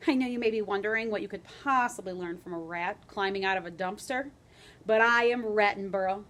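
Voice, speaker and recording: A woman's voice: fairly high pitch, a bit bright. Woman reading a chirpy rat adventurer, grand and friendly, storybook read-aloud. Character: cheerful male rat explorer, showy.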